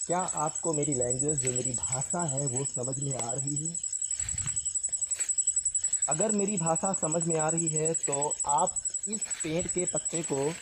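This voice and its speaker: A man with a slightly high voice hosts a ghost-hunting vlog outdoors at night. He explains things earnestly and haltingly, often repeating himself. There is faint background noise of crickets and insects. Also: darkish tone. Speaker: paranormal vlog host. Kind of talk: ghost-hunting vlog, addressing spirits and viewers. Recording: noisy outdoor night recording with insect sounds.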